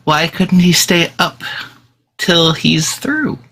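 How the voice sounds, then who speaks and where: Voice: medium pitch, lively intonation, slow, a bit bright, slight background noise. An adult voicing a worried little boy at bedtime in a live old-time radio play reading. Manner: childlike, worried and questioning.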